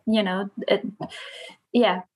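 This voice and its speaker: Breathy woman interviewer, encouraging. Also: mid-range voice, steady tone, slow, rough recording. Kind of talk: conversational interview.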